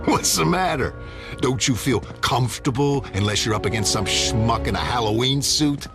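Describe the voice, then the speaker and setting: A man's voice: mid-range voice, expressive, normal speed, close to an everyday voice. A man playing a cynical, swaggering middle-aged vigilante in a dramatic motion comic. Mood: mocking. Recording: music behind the voice.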